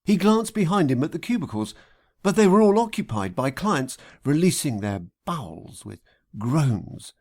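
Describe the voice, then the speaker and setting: A man narrates a comic satirical story in a husky, high-pitched voice. He takes his time, measuring each phrase theatrically, with his intonation moving widely. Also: very animated, normal speed, a little mellow. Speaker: author reading an audiobook extract. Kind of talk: scripted satirical audiobook narration.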